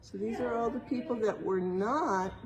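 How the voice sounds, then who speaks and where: A woman reading a picture book aloud in a museum exhibit like an educator, pausing for casual explanations and checking in with little tag questions. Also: fairly high pitch, crowd noise. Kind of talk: read-aloud storytime with casual explanatory asides.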